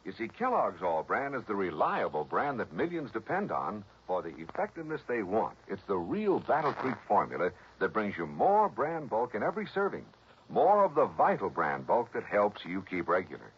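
Smooth persuasive radio announcer man. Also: wildly swooping pitch, moderate pace, background noise. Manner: smooth, persuasive sales pitch. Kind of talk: radio commercial. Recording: dull old radio broadcast with music.

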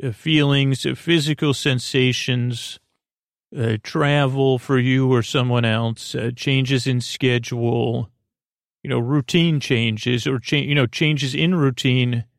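Creaky guy doing a bedtime podcast, rambly and halting, dry close sound, kinda whimsical. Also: mid-range voice, fairly even intonation, dry recording. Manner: meandering, halting, full of fillers and false starts. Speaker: podcast host. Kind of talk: rambling bedtime monologue to help listeners sleep.

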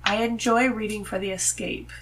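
A woman's voice: medium pitch, steady tone, normal speed, a bit bright, a little hiss or hum. A woman speaking as a podcast guest in casual chat, with a frank, opinionated manner. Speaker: podcast guest, host's wife.

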